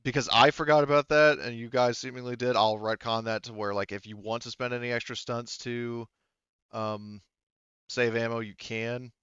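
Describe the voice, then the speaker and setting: A man's voice: medium pitch, flat delivery, normal speed, fairly bright tone, smooth, no room sound. A man acting as game master in a casual tabletop game, thinking aloud and explaining rules in detail. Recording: dull, slightly muffled close recording.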